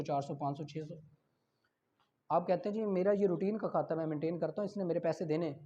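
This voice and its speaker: A man with a Pakistani accent lecturing on law, reading out articles and explaining them with rhetorical questions and examples. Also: high voice. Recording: dull lo-fi audio.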